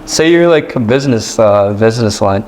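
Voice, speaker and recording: A man hosting a casual podcast interview, asking curious, halting questions. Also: lowish voice, animated, darkish tone, poor audio. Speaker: podcast host.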